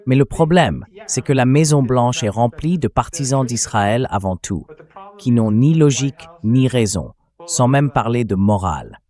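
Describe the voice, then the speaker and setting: A man's voice: medium pitch, animated, unhurried, dark tone. A man speaking as a professor guest, explaining in a firm, emphatic way. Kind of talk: dubbed interview commentary. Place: in Tehran.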